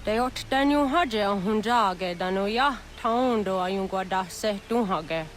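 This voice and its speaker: An actress playing a solemn village elder woman, speaking seriously and deliberately in a dramatic video game. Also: mid-range voice, slight background noise, natural voice.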